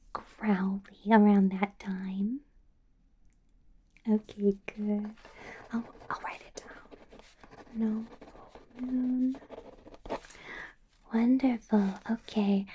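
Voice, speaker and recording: A woman voicing a possessive, doting vampire boss in a whispered, breathy ASMR roleplay, coaxing and quietly sinister. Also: mid-range voice, a little hiss or hum, close to an everyday voice. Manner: slow, coaxing, with small chuckles and pauses.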